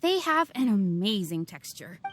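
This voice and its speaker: A woman's voice: medium pitch, sing-song, unhurried, a bit bright, no room sound. A woman voicing a polite, eager female restaurant server in a video game, attentive and service-minded. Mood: eager, proud. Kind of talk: English video game voice acting.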